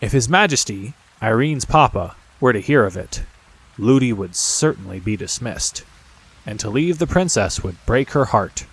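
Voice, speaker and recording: A male audiobook narrator reads a children's storybook in a measured way. His mid-pitched voice moves widely up and down, giving the scripted prose an expressive, storytelling shape. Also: husky, poor audio.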